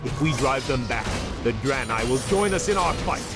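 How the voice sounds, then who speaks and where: An actor playing an earnest young elven prince in a video game, speaking formally and deferentially. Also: male voice, medium pitch, lively intonation, moderate pace, loud music behind the voice, natural voice. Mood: resolute. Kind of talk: dramatic video game acting.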